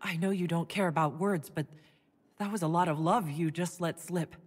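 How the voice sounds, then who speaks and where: A woman playing a composed, caring female warrior hero in a video game, speaking in a measured, gently probing way. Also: fairly low pitch, breathy edge. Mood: calm, concerned. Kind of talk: video game cutscene acting.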